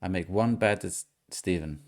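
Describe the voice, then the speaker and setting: A man with a very low, gravelly voice hosts a relaxed gaming podcast. He runs a guessing game, reads out clues in a steady way and laughs along with his co-hosts. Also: normal speed. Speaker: podcast host. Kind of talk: casual podcast chat, quiz host.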